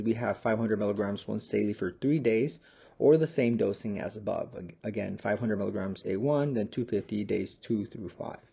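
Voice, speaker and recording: A young man narrates a slide lecture as a student presenter. His voice is low and creaky, with a relaxed, dark tone. He explains in a measured way. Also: muffled recording.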